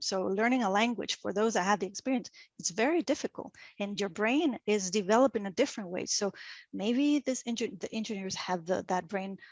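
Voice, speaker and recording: A middle-aged woman from Venezuela with a Venezuelan Spanish accent, speaking English as a guest in an interview and telling personal stories in an animated way, often restarting her sentences. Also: mid-range voice, no room sound.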